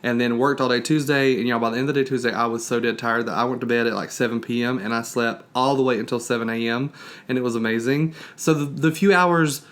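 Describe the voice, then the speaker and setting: A man's voice: medium pitch, steady tone, fast talker, fairly bright tone. A man with a Southern US accent, chatting casually as a podcast co-host and telling his week in a friendly, storytelling way. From North Carolina, US. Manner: friendly, storytelling, addresses listeners as y'all. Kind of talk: casual chat.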